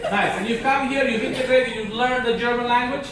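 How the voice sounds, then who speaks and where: A man works the crowd at a comedy club, firing off teasing questions and jokes, with a high voice. The sound is very echoey, the mic is some distance off, and heavy room noise sits under everything. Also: fairly even intonation. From Romania. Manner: quick teasing banter, frequent rhetorical "okay?". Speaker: stand-up comedian doing crowd work. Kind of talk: stand-up crowd work.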